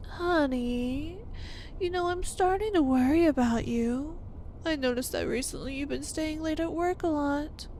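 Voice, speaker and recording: A woman playing a sweet, possessive, obsessed wife with quiet menace, cooing and coaxing in a roleplay ASMR style. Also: high-pitched, slight background noise. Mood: reproachful, suspicious. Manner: cooing, saccharine, coaxing, drawn-out delivery.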